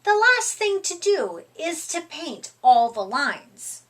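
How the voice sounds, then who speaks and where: A middle-aged woman reading a picture book aloud to young children in an expressive, deliberate, emphatic way. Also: high voice. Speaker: storytime reader. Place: small furnished room indoors.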